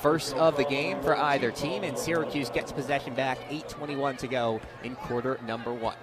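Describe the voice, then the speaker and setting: A man's voice: medium pitch, normal speed. A young man calling live basketball play-by-play on radio with energy, raising his voice for big plays. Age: young adult. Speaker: play-by-play sports commentator. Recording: loud crowd and gym noise behind.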